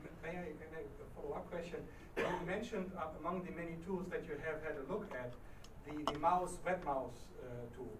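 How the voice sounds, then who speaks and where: A man in the audience asking the presenters a question, in a halting way with many restarts. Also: a little high. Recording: roomy, distant, noisy hall mic.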